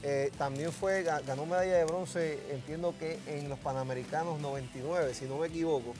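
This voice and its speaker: A middle-aged Puerto Rican man co-hosts a sports show in a studio. His voice sits fairly high and he chats casually, often repeating phrases. Some music plays behind him. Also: steady tone, a little fast. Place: TV studio at a desk.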